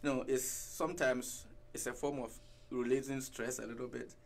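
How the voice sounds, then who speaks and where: A man in his thirties with a Ghanaian accent, a guest chatting in a radio studio, relaxed and mixing in Twi phrases. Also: medium pitch, moderate pace, vocal fry. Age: 30s. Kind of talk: casual radio interview. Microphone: headset and desk mic.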